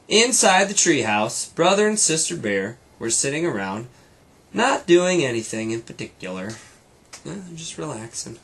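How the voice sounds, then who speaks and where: A man's voice: on the high side, fairly bright tone, husky. A man, a teacher, reading a children's storybook aloud to students with casual little asides.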